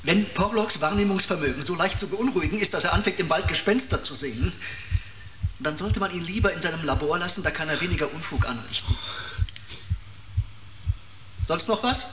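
A brusque explorer radioing in from the field in an audio drama. His rather high voice is clipped and dismissive, and it comes through with echo and faint noise. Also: animated, brisk, long pauses. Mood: irritated, scornful. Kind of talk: radio play acting.

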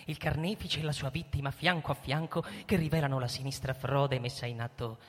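A man's voice: medium pitch, steady tone, fairly quick, a bit bright, close to an everyday voice. A male actor playing a pompous, scheming army general in a radio drama, formal and emphatic like an orator. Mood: smugly formal. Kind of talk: theatrical radio play acting. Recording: long hall reverb, stage performance.

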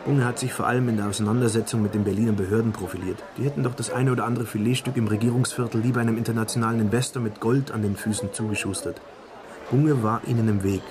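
An actor playing an earnest investigator in a radio crime drama, setting out facts precisely, with people murmuring behind him. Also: male voice, lowish voice, fairly even intonation, quick pace, noisy background, lightly acted. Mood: insistent. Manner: precise, recites facts in detail. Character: earnest male investigator briefing a superior on a death.

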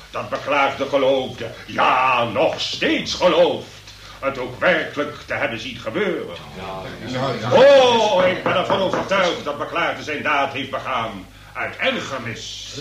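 An actor playing a pompous, florid magistrate in a radio play, with a theatrical, oratorical and emphatic manner. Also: male voice, medium pitch, a bit bright. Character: pompous, florid, grandly magnanimous male examining magistrate. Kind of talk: Dutch radio play acting. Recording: echoey courtroom sound, distant from mic.

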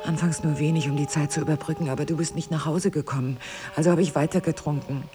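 An actress playing a middle-aged, wounded and jealous wife in an intimate drama, with intense, emphatic phrasing. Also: female voice, deep voice, steady tone, fast, music in the background, lightly acted. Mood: brooding confession. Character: wounded, bitter, jealous wife, drinker, ageing and proud. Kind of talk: intimate stage-play dialogue and confessional monologue.

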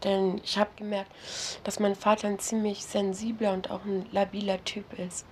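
Young woman, Berlin accent, halting interviewee, roomy distant 1970s tape. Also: mid-range voice, level tone, a little mellow, a little hiss or hum. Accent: Berlin German. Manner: hesitant, halting, frequent tag questions. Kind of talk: candid recorded interview.

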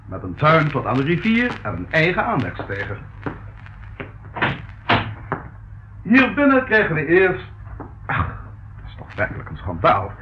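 A man's voice: fairly high pitch, huge pitch swings, moderate pace. An actor playing a fussy, easily scandalised male estate agent in a Dutch radio play, with formal, pompous patter. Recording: muffled old radio recording, faint noise.

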